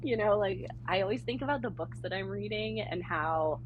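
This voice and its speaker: A woman's voice: mid-range voice, animated, moderate pace, narrow, tinny audio, background noise. A woman raised in the San Francisco Bay Area, chatting as a podcast guest and laughing often between fillers. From born in Germany, raised in the San Francisco Bay Area. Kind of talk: casual interview conversation.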